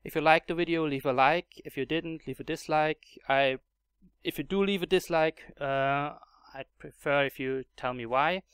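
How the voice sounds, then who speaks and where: A man's voice: on the high side, normal speed, a bit bright, clear, resonant tone, dry recording. A man with a German accent narrating a casual game tutorial, hesitant with many fillers and restarts. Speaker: Minecraft mod video creator.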